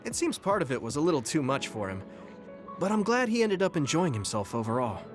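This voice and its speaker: Kind young soldier from a game, highish voice, really expressive, gentle and friendly, music behind. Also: male voice, fairly quick. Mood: friendly, upbeat. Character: earnest, kind young male soldier, eager to help. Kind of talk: video game voice acting. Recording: background music over dialogue.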